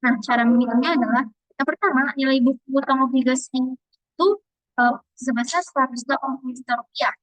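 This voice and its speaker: A woman's voice: sits high, steady tone, a little fast, a bit bright, no room sound, low-quality recording. A young woman, a student presenting and explaining exercise answers. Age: young adult.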